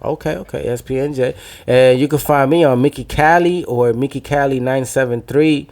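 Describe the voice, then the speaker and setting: A man's voice: mid-range voice, normal speed, darkish tone. Man, young adult, Dominican American accent, podcast host, casual profane chat, Spanish asides. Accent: Dominican American, New Jersey. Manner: loose, profane, switches into Spanish. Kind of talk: casual banter.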